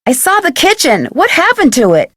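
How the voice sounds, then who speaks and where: A woman's voice: high-pitched, huge pitch swings, moderate pace, fairly bright tone, no room sound, studio quality, full character voice. A woman voicing a friendly, inquisitive young woman detective in a video game, curious and polite. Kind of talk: video game character voice acting.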